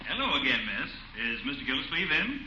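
A man plays a polite, ingratiating salesman in old radio comedy. His delivery is lively and courteous, buried in heavy noise on a dull recording. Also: mid-range voice, fairly quick, close to an everyday voice. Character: polite, smooth-talking male insurance salesman, ingratiating. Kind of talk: 1940s radio sitcom acting.

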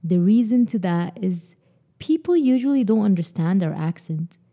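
A young woman from Sudan hosts a podcast conversation. Her voice is very dark and mellow, and she reads prepared lines at a mid pitch in an earnest, personal manner. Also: animated, moderate pace. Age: young adult. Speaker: podcast host.

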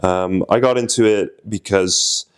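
A man's voice: lowish voice, fairly even intonation, unhurried, clear, resonant tone. A man in his twenties from Slovenia, a comedian chatting casually in an interview, rambling and self-deprecating with frequent restarts. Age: 20s. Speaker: comedian guest.

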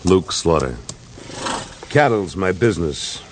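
A man's voice: deep voice, lively intonation, takes it slowly. An actor playing a tough, defiant cattleman of the Old West in an old-time radio western. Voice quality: tough-sounding voice. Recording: noisy old radio recording with clicks.

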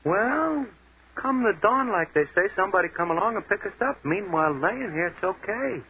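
An actor playing an easygoing young army sergeant with a folksy, slangy way of talking, in a 1940s radio drama. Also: male voice, high voice, animated, moderate pace, slight background noise. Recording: tinny 1940s radio broadcast.

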